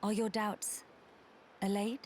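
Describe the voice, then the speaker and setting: Woman playing a slow-spoken, regal young heiress. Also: mid-range voice, level tone, darkish tone, slight background noise, natural voice. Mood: earnest, gentle. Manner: formal, stately, measured. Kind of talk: video game cutscene acting.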